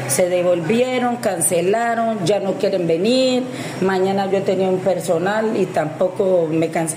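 A woman who works in local tourism, talking at length in a rambling news interview, often restarting her sentences. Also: medium pitch. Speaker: tourism service provider. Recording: echoey with noise.

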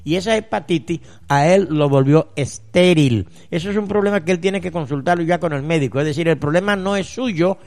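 A man hosting a radio advice show as a natural healer, addressing listeners directly and emphatically with deliberate pauses. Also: a little high, darkish tone. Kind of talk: radio advice show, reading listeners' letters and answering them.

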